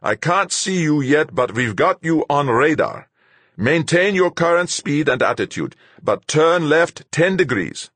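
A man voicing a sharp, commanding male spaceship captain in an audiobook, barking insistent orders. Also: a little high, very animated, a little slow, dry recording, voice pushed up, full character voice. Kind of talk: audiobook character dialogue.

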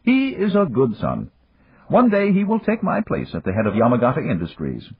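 A man voicing an older Japanese industrialist who is round, calm and philosophical, speaking in a measured, courteous, gently lecturing way. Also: medium pitch, slightly rough, voice pushed up. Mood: measured, amiable. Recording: tinny, phone-like lo-fi.

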